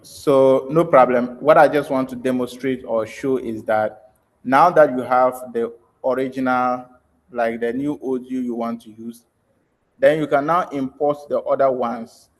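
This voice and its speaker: A man's voice: mid-range voice, steady tone, a little slow. A man giving an informal online tutorial as a trainer, explaining step by step in a hesitant way with check-in questions. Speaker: trainer in an online audio editing workshop. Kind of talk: live screen-share tutorial, informal explaining. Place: online video call.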